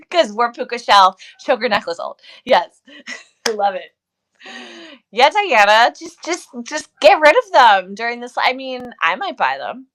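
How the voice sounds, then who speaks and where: Woman, middle-aged, bright voice, casual livestream co-host, chatty, jokey, unscripted, repeats herself. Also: fairly high pitch, big pitch swings, measured pace. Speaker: co-host of a vintage seller livestream.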